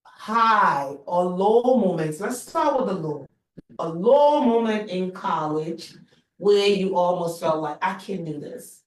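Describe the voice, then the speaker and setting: Middle-aged mom host, lowish voice, thoughtful questions, echoey room. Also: female voice, animated, takes it slowly. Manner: reflective, encouraging questioner. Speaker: host, mother. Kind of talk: interview-style conversation.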